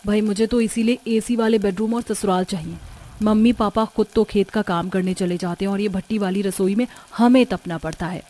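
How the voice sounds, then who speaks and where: A woman's voice: medium pitch, steady tone, brisk, dark tone, lightly acted. A woman playing a money-hungry young village sister in a cartoon story, emphatic and complaining. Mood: grumbling. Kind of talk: Hindi cartoon story dubbing.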